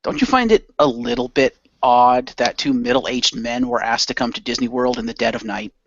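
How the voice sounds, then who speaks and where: A man's voice: mid-range voice, normal speed, no room sound, muffled recording, close to an everyday voice. A man playing a friendly, skeptical middle-aged man in an amateur radio play, casual and questioning. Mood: friendly, bemused.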